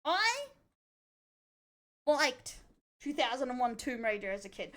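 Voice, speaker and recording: A high-pitched Australian woman talks in a loose live-stream style. She is blunt and jokey, swears freely and sounds giggly. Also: big pitch swings, measured pace, a bit bright. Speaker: streamer reacting to a video. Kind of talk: casual live reaction chat.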